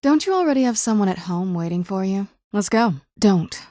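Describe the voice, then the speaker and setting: A woman's voice: medium pitch, animated, brisk, warm tone, no echo, natural voice. An actress playing a young female vampire companion in a video game, with a dry, guarded, sardonic manner. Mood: dry, wry. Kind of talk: video game character voice acting.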